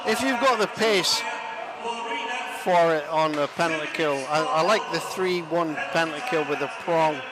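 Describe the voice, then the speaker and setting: A man giving live play-by-play commentary on an ice hockey game, chatty and self-deprecating, sometimes pausing to find his words. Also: fairly high pitch, poor audio. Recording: music clearly audible behind the voice. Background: music.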